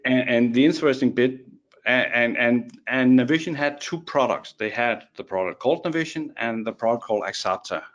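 A man's voice: mid-range voice, brisk, highs cut off. A man from Denmark with a Danish accent, a guest on a casual podcast chat, who restarts and repeats words often.

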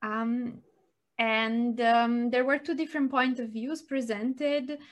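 Slow, hesitant woman co-host. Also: a little high, steady tone. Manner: hesitant, many ums and uhs. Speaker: podcast co-host. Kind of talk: conversational podcast discussion.

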